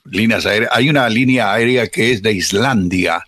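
A man's voice: on the low side, normal speed, a bit bright, no echo, studio quality. A man co-hosting a morning talk show in casual, conversational speech. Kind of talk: casual radio chat.

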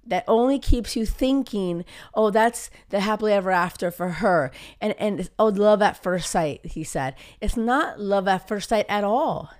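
A woman's voice: mid-range voice, unhurried, hardly any pauses. A woman, hosting a casual solo podcast as a love coach, talking emphatically with many fillers while her voice comes back after a cold. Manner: emphatic, gets riled up, frequent fillers. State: recovering from a cold, voice coming back.

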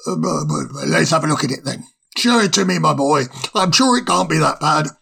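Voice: mid-range voice, sing-song, a little fast, creaky, a bit bright, slightly rough, exaggerated voice. A storyteller voicing a kindly, pompous old male owl professor who chuckles and stammers fondly. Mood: genial. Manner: chuckling, hesitant, fond of "my dear boy". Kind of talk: storybook reading.

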